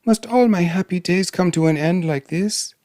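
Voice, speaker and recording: A man's voice: on the high side, moderate pace, a little mellow, no echo, clean studio sound, voice pushed up, natural voice. A man voicing a proud young fairy tale princess with a plaintive, haughty manner, in a storybook reading. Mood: frightened.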